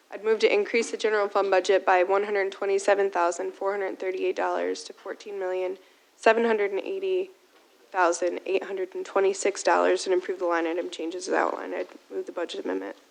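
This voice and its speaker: Gravelly flat-voiced woman making motions. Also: mid-range voice, low-quality recording. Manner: formal and measured. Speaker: board trustee. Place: township board meeting room.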